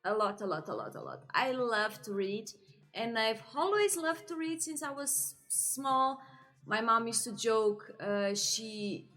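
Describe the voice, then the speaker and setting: A woman's voice: a little high, big pitch swings, unhurried, bright, forceful tone, soft background music. A young woman from Portugal chatting casually to camera about her reading, with many fillers and frequent laughs. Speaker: book vlogger.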